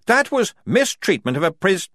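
A man's voice: high-pitched, very animated, normal speed, fairly bright tone, no echo, studio quality, pitched above the performer's own voice, heavily acted. A man voicing a prim, earnest and honourable lieutenant in an audiobook story. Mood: earnest, indignant. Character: earnest, honourable young male lieutenant, prim gentleman officer.

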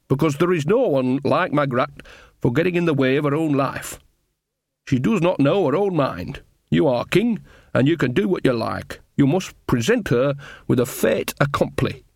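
A blunt old witch voiced by a man, dictating in an audiobook letter. She sounds imperious and deliberate, with a bossy, rustic formality. The recording is dry and close. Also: a little high, vocal fry, no room sound.

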